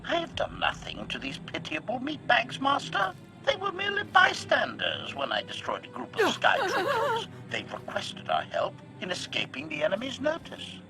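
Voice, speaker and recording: Creaky, swooping, sardonic robot assassin. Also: male voice, very high voice, huge pitch swings, moderate pace, hardly any pauses, pushed and bright, exaggerated voice, low-quality recording. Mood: indignant, formal. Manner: formal, announces each statement with one clipped word. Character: polite, menacing, sardonic assassin droid. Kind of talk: stylized video game character acting. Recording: music behind the voice.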